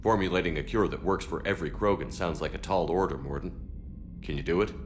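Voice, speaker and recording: A steady human commander from a video game played by a man, with a deep voice and short, controlled phrasing. He sounds authoritative and no-nonsense, with clear ship ambience noise behind him. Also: quick pace, close to an everyday voice. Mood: firm. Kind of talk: video game character acting.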